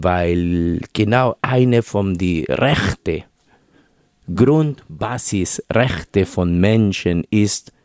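Slow, mellow Peruvian-accented man. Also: low-pitched, no echo, clean studio sound. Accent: Spanish-speaking Peruvian. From Peru. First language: Spanish. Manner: hesitant, many fillers, careful non-native phrasing. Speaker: guest. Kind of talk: radio interview.

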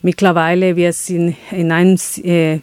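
A woman's voice: fairly low pitch, little pitch movement, measured pace, a little mellow, smooth, dry recording. Woman with an Ecuadorian accent, dry. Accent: Ecuadorian Spanish. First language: Quechua. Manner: searching for words, frequent restarts and fillers. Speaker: guest, chocolate business founder. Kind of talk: interview answers.

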